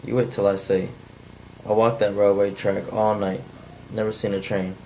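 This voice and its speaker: A man's voice: on the low side, normal speed, slight background noise, lightly acted, poor audio. A man reading the part of a menacing, bitter drifter in an unpolished script table read. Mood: threatening, tired. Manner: flat, unpolished amateur line reading. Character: menacing, bitter, down-on-his-luck male drifter intruder.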